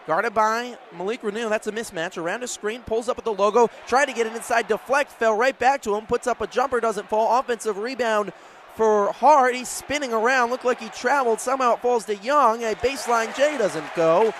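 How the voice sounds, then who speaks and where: Man, American accent, live radio sports commentary, high voice, clipped descriptive calls, faint arena noise. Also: brisk, fairly bright tone. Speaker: basketball play-by-play announcer. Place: courtside in a large indoor arena. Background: basketball crowd, bouncing ball.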